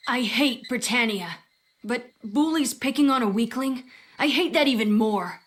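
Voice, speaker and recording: A woman's voice: a little high, a little slow, lightly acted. A woman voicing an indignant, hot-tempered young boy who acts and narrates his memories in an audio drama. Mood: indignant, flustered. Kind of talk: audio drama acting with first-person narration. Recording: long reverb, music behind the voice. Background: background music.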